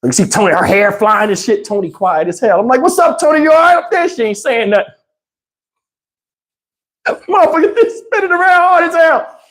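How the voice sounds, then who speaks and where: A man's voice: very high voice, very animated, moderate pace, pauses often, a bit bright. A middle-aged man telling a story casually as a vlog host, in a slangy, profane and emphatic way.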